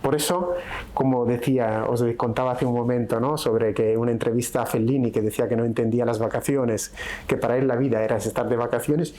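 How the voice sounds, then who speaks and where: A middle-aged man from Italy with an Italian accent, a podcast guest speaking in a reflective, unhurried way and often ending thoughts with a question tag. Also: medium pitch, steady tone, mellow. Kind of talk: casual conversation.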